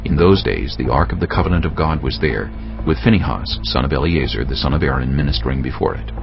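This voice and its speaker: A man's voice: very deep voice, lively intonation, fast, vocal fry, highs cut off, music in the background. A man narrating dramatized scripture as a solemn storyteller.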